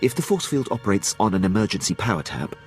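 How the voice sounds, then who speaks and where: Game officer: dutiful captain, lowish voice, highly animated pitch, analytical and deferential, music behind. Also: male voice, quick pace. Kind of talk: video game character acting.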